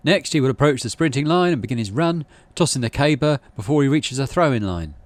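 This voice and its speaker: A man's voice: medium pitch, animated, fairly quick, dry recording, pitched above the performer's own voice, close to an everyday voice. A man voicing a haughty, pompous boy who narrates a childhood game like a commentator.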